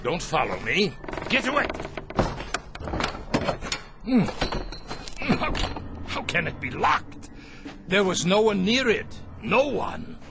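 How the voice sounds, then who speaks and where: A cruel ex-camp captain. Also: male voice, sits high, very animated, slow, long pauses, heavily acted. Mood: nervous. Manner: stammering, mocking laughs, then fearful. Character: guilty former male camp captain, older, cruel. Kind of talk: radio drama acting. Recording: radio play with music behind.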